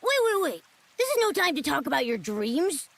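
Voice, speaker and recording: A tiny, excitable cartoon companion from a video game. The voice is extremely high with a creaky edge and bounces around eagerly. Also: female voice, moderate pace, heavily acted. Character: small excitable cute companion sidekick. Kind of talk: cartoonish game cutscene voice acting.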